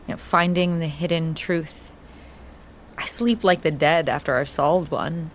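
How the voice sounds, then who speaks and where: A woman's voice: deep voice, unhurried, slight background noise. An actress playing an intense, obsessive woman in an audio drama, conversational yet probing and insistent. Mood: probing, coaxing. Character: intense, coaxing woman obsessed with solving puzzles. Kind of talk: scripted audio drama acting.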